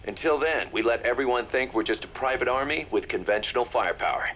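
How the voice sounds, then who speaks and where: An actor playing a serious military second-in-command in a video game, briefing in a businesslike, measured manner. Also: male voice, medium pitch, a bit bright, close to an everyday voice.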